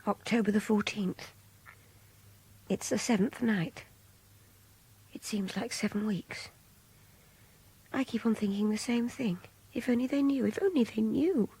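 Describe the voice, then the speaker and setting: An actress playing a young kidnapped Englishwoman in a radio drama, confiding her fear and contempt in a hushed, breathy, intimate diary monologue. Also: a little high, measured pace, pauses often, heavily acted. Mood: weary, anxious. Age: young adult. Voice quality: breathy, often near-whispered, gravelly edge. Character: young kidnapped woman captive, frightened, contemptuous, passionate, intense.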